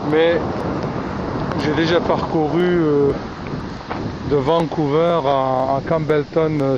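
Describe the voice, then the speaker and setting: A man with a French accent narrating his road trip in a slow, hesitant way, pausing often with fillers and restarting words. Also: a little high. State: tired. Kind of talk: casual travel narration. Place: in a car and outdoors by a river. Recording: dull lo-fi sound with clear noise.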